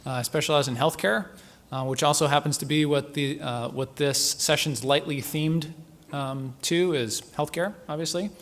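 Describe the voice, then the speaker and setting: A man opens a conference session and introduces the speakers. His voice sits a little high for a man, and his delivery is halting and full of fillers. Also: fairly even intonation. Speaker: session host.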